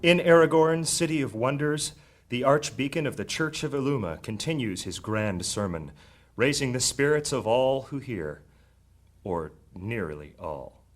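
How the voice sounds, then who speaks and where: A man's voice: mid-range voice, lively intonation, unhurried, soft background music. Male narrator of dramatic fantasy tales.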